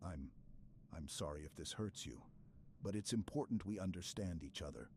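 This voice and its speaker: Guy doing a stoic space super-soldier for asmr roleplay, deep voice, earnest and halting, some background noise. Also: lively intonation, darkish tone. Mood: earnest, apologetic. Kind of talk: intimate ASMR roleplay acting.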